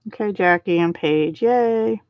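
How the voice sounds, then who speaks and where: A middle-aged woman hosting a live card-making stream, talking softly and thinking aloud as she works and chats with viewers. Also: mid-range voice, a little slow, dry recording. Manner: soft-spoken, thinks aloud while working. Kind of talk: casual live craft demo, chatting with viewers. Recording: dry, slightly dull home stream audio.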